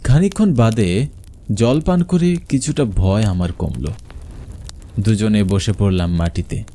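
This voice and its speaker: A man's voice: fairly low pitch, animated, measured pace, mellow. A man narrating a scripted first-person horror story in a dramatic, suspenseful way. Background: faint music.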